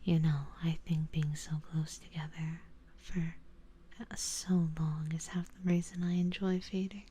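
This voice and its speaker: Woman playing a slow, whispering, genteel vampire lady. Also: low voice, little pitch movement. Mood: soothing, intimate. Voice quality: soft, breathy, mostly whispered. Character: elegant, doting, possessive female vampire noble. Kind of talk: ASMR roleplay.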